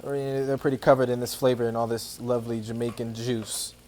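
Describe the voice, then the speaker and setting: A man's voice: medium pitch, little pitch movement, fast talker, a little mellow, a little hiss or hum. A man giving an informal, playful cooking demonstration, instructing as he goes. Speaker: athlete cooking a dish as guest chef. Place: indoor kitchen.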